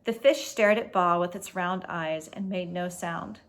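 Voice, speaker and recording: A woman's voice: fairly low pitch, measured pace. A woman hosting a read-aloud, telling a story expressively and stepping out for casual explanations to young listeners.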